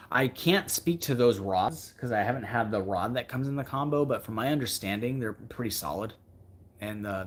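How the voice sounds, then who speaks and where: Husky man chatting casually on a livestream. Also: medium pitch. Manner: rambling, thinking aloud, emphatic repetition. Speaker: fishing video creator hosting a livestream. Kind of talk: casual livestream chat answering viewer comments.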